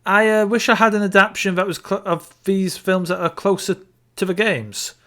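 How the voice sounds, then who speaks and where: A man's voice: high-pitched, normal speed, pushed and bright. A man co-hosting a podcast, chatting casually about films and games. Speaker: podcast co-host.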